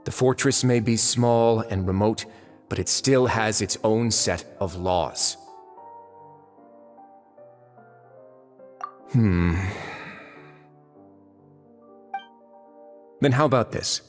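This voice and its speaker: An actor playing a suave, calculating fortress lord in a game, speaking with measured courtesy and veiled menace. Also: male voice, fairly low pitch, lightly acted. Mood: measured warning. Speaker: voice actor in a dubbed video game. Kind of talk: theatrical game cutscene dialogue. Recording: long reverb under game music.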